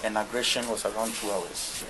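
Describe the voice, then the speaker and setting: A man's voice: fairly low pitch, steady tone, moderate pace. A man asking questions and recapping details in conversation as a visitor. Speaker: visitor questioning hospital staff. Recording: clear ambient noise.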